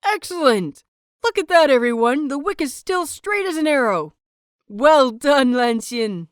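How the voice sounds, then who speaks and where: A woman voicing an exuberant, encouraging male teacher of young magic novices in an audiobook, excited and full of praise. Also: high voice, measured pace, dry recording, studio quality, pitched above the performer's own voice. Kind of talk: audiobook character voice.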